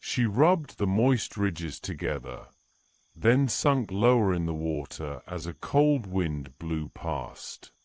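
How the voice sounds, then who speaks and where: A man narrating an audio drama in a slow, measured storytelling voice. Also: low voice, big pitch swings, a little mellow, no room sound. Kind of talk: scripted narration.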